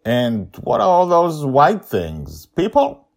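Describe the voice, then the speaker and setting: Man doing a careful young crewmate, warning, higher pitch. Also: takes it slowly, warm tone. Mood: cautious. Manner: cautious warning.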